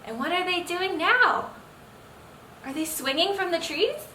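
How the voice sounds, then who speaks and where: A young adult woman reading a picture book aloud to children, expressive and full of engaging questions. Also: very high-pitched, a little slow. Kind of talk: children's read-aloud storytelling. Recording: roomy echo, distant mic, faint noise.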